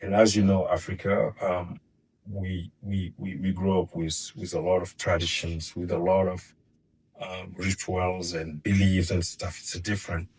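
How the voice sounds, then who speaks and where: A man's voice: low voice, little pitch movement, a little slow, darkish tone, slight background noise, poor audio. A middle-aged man from Senegal, guest in an interview, speaking hesitantly and often repeating words while he searches for them. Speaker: guest filmmaker. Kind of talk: casual interview.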